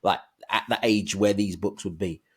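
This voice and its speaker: A man's voice: fairly low pitch, fairly even intonation, normal speed, slightly rough. A man co-hosting a casual podcast discussion, thinking aloud hesitantly in broken phrases. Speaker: podcast co-host.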